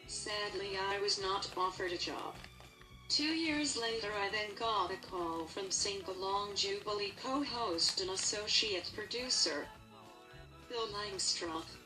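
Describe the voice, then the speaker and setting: A woman's voice: mid-range voice, unhurried, natural voice. A woman playing an earnest Canadian singer, plainly reading a scripted first-person account of her career. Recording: distant mic under clear music.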